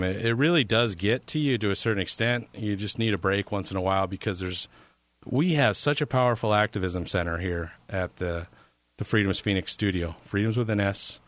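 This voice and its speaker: A man's voice: lowish voice, fairly bright tone, dry recording. A man filling in as a talk radio host, rambling casually with a sarcastic edge and frequent restarts.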